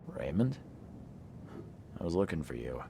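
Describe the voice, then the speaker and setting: An actor playing a weary, skeptical investigator in a video game, with a dry, blunt, probing manner. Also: male voice, rumbling low, big pitch swings, unhurried, mellow, a little hiss or hum, heavily acted. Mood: skeptical, stern. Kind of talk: video game dialogue acting.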